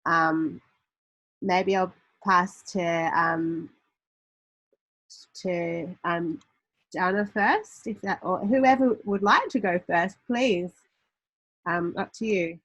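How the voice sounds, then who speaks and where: Slow, breathy woman moderator. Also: lowish voice, highs cut off. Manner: hesitant, many ums, gently polite. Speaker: panel moderator. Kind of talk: moderating a panel.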